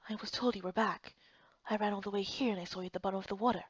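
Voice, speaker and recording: A woman voicing a gentle, worried young man in a fantasy game, soft and hushed. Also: mid-range voice, steady tone, rapid-fire, breathy edge, no room sound, lo-fi sound, voice pushed down, close to an everyday voice. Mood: relieved, worried.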